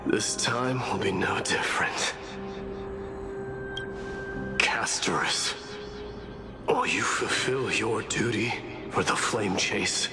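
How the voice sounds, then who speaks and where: An unyielding, fatalistic warrior lord from a game. His voice is fairly low and his delivery slow, grand and archaic. Clear music plays behind him. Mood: solemn, determined. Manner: grand, archaic, measured, commanding. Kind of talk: scripted video game voice acting.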